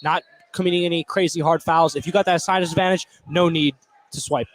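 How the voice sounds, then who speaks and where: High-pitched man giving informal basketball analysis. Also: fairly even intonation, brisk, slight background noise. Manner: informal, opinionated. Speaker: color commentator. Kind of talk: conversational live basketball analysis.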